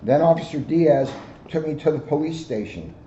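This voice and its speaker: A police officer, a man, reading a storybook aloud to children in an expressive, deliberate way. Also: a little high, muffled recording. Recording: roomy, band-limited, faint background noise.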